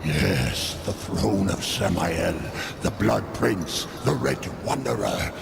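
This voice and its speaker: A gravelly, sly demon merchant. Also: male voice, low-pitched, expressive, very noisy, exaggerated voice. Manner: slow, ominous and knowing. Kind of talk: video game cutscene acting. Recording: cutscene with loud music behind.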